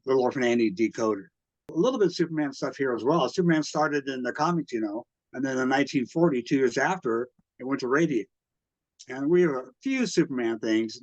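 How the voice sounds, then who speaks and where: An American man in his sixties talks casually about his past as a collector, in a halting, hesitant way. His pitch is middling, and he is recorded dry and slightly muffled. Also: no echo. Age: 60s. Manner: halting, hesitant, backtracks often. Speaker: radio collector and museum exhibitor, interviewee. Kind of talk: casual reminiscing interview.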